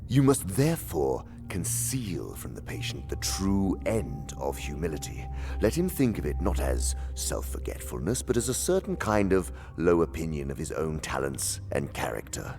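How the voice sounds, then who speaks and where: An actor playing a haughty, scheming senior demon who lectures in a pompous, theatrical, condescending way, in a radio drama. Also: male voice, very animated, moderate pace, a little mellow, faint music behind, full character voice. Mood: lecturing, sly. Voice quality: very deep, gravelly.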